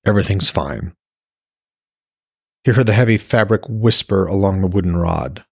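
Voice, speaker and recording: A man reading scripted fiction as narrator and host, with a husky voice. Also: low-pitched, brisk, a little mellow, no room sound, studio quality. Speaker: podcast host, author reading his own novel.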